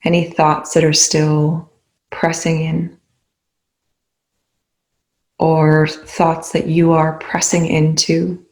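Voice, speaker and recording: A woman leading a guided meditation in slow, measured phrases with pauses between them. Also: low voice, completely flat delivery, a little mellow.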